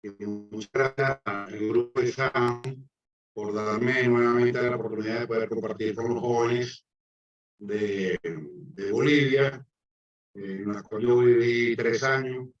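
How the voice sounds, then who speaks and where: A man's voice: mid-range voice, fairly even intonation, slow. A man with a Venezuelan accent giving an online engineering lecture, with frequent hesitations and fillers. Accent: Venezuelan Spanish. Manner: hesitant, many fillers and restarts. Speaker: guest lecturer, civil engineer. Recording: echoey distant muffled mic.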